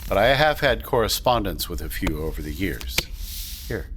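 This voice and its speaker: An actor playing a calm, guarded and courteous storyteller, speaking in measured audio drama dialogue. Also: male voice, low voice, background noise.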